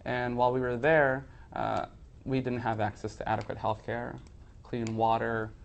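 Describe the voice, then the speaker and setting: A man in his twenties from Somalia, a city council candidate speaking earnestly and in a measured way to an editorial board. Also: medium pitch, flat delivery, gravelly, slight background noise. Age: 20s. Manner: earnest, measured, speech-like delivery. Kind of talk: editorial board interview statement. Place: newspaper meeting room, indoors.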